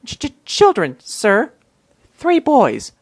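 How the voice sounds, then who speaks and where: A woman's voice: on the high side, huge pitch swings, slow, slightly rough, dry recording, heavily acted. A woman voicing a timid, nervous servant in a comic dub, hesitant and stammering. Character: timid, nervous servant bearing bad news.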